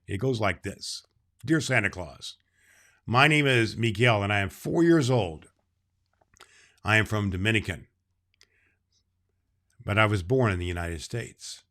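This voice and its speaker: A man playing Santa Claus, reading a children's book aloud and talking to kids in a slow, gentle storytelling manner. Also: lowish voice.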